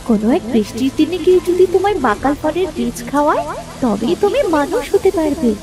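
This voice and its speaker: A woman's voice: high voice, sing-song, fairly quick. A woman playing a proud mermaid who casts curses yet shows mercy, in a children's moral-story cartoon. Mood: relenting. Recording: music behind the voice.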